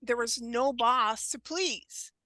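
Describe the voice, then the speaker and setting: A woman in her seventies giving an informal presentation over a video call, unhurried and halting, with a scratchy voice and frequent throat clearing. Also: fairly high pitch, a crowd in the background. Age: 70s. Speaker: guest presenter on wellness.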